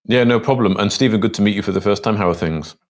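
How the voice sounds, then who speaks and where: A man hosting a business interview, asking brisk, affable questions. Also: lowish voice.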